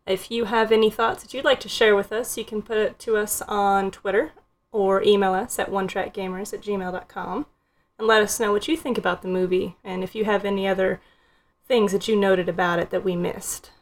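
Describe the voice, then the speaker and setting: A woman's voice: medium pitch, steady tone, moderate pace. A woman hosting a casual movie review podcast, speaking informally and steering the conversation. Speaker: podcast host.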